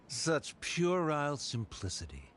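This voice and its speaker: A man's voice: mid-range voice, fairly bright tone, slight background noise, heavily acted. Haughty, pompous scholar father. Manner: pompous, disdainful. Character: haughty older scholar father, grudging and cold. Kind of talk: scripted game voice acting.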